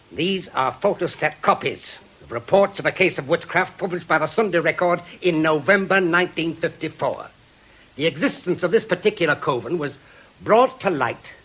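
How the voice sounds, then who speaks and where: Radio drama: obsessive, scornful British former policeman, brusque and insistent, rough-edged voice, very animated delivery. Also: on the high side, heavily acted. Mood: grim, insistent. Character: obsessive, cantankerous veteran ex-policeman and bereaved husband.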